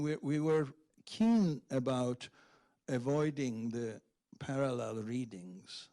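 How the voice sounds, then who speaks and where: A man's voice: mid-range voice, creaky, dark tone. A man speaking in a discussion after a reading, searching for words and often checking in with the listeners. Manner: hesitant, searching, often says "in fact" and "right". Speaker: speaker discussing a poetry translation. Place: public event room at a library.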